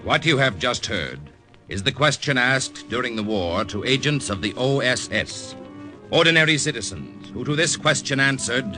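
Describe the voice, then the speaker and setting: A man announcing a 1950s radio drama in a measured, emphatic, scripted manner. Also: mid-range voice, lively intonation. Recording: old radio recording with loud music.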